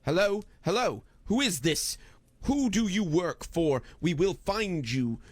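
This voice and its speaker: A man's voice: high voice, sing-song, slow, dry recording, pitched above the performer's own voice, exaggerated voice. A man voicing an angry, menacing otherworldly stranger who makes demands and threats in a horror story. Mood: angry, threatening. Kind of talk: horror audiobook character voice.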